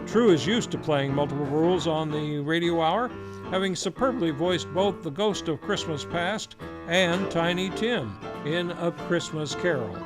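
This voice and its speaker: Guy hosting an old time radio hour, kinda high voice, guitar playing behind him. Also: expressive, poor audio. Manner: announcer-like, formal and measured. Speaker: radio play host. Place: local community theater stage. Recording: live show with guitar music behind.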